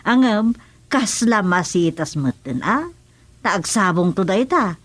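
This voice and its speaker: Woman acting slow-talking, bossy village auntie. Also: medium pitch, animated, dry recording. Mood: helpful, instructing. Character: older village auntie, bossy, chatty, helpful. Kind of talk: Ilocano radio comedy drama acting.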